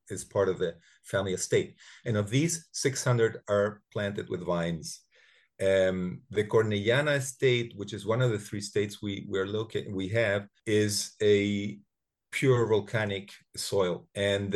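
A man winemaker explaining his estate in a measured, hesitant way over a video call. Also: fairly low pitch. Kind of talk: casual panel chat.

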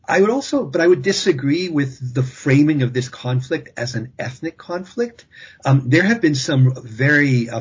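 A man with a very husky, breathy voice talks through a panel discussion as an expert guest, careful and measured, often circling back to restart a phrase. His voice comes through a dull, lo-fi line. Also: mid-range voice. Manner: measured, thinking aloud with frequent restarts.

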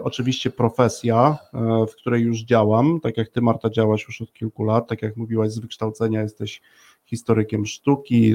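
A man hosts a conversational interview in a mid-pitched voice. His intonation stays very even, and he builds long questions haltingly, circling toward the point. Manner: hesitant, long-winded questioning. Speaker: podcast host interviewing guests.

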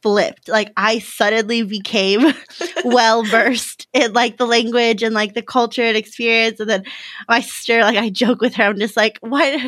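A woman's voice: a little high, fairly even intonation, moderate pace, a bit bright, dry recording. Young American woman, dry close sound. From California, United States. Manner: giggly, informal, peppered with "like". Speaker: podcast guest. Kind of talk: casual chat.

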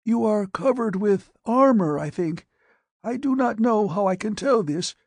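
A man voicing a frail, withered young patient who speaks, weak and halting. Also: high voice, animated, darkish tone, dry recording, pitched above the performer's own voice, full character voice. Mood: weak, dazed. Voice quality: cracked whisper.

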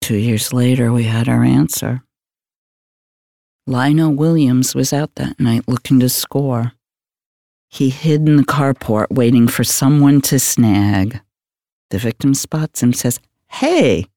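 Slow, deliberate homicide detective. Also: male voice, mid-range voice, vocal fry, warm tone, dry recording, studio quality. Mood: matter-of-fact. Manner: deliberate, terse storytelling. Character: matter-of-fact male homicide detective recounting a case. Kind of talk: hard-boiled audio drama narration.

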